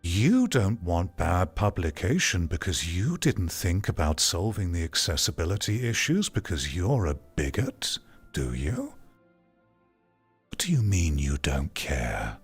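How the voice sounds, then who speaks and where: A man's voice: low voice, husky, heavily acted. A man voicing a smarmy, menacing corporate scold, mock-sweet and insinuating, in a theatrical parody. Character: smarmy, menacing corporate scold guilt-tripping game developers.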